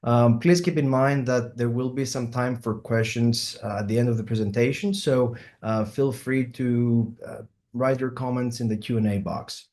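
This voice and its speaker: A man's voice: medium pitch, steady tone, moderate pace, darkish tone. Greek-accented man presenting, full of ums. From Greece. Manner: hesitant, many ums and uhs. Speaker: plastic surgeon presenting. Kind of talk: online symposium presentation.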